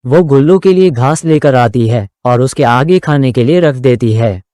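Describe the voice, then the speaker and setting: A man's voice: mid-range voice, lively intonation, moderate pace, noisy background. A man narrating a scripted moral story for a children's cartoon.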